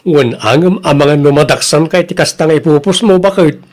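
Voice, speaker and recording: An actor with an Ilocano accent playing an embarrassed, scolding husband, blunt and reproachful, in radio drama style. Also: male voice, fairly high pitch, normal speed, natural voice. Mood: exasperated, scolding.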